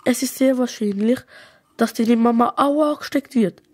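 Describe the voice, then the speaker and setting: A woman's voice: a little high, moderate pace, darkish tone, no room sound, close to an everyday voice. A woman playing a sick, matter-of-fact young schoolchild with a plan, in a children's radio play. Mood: confident, plotting.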